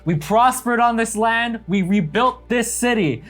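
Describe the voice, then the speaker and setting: Man playing a slow, bitter avian orator. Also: extremely high pitch, a bit bright, faint music behind. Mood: bitter oration. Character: bitter, aggrieved avian survivor orating to an elven crowd.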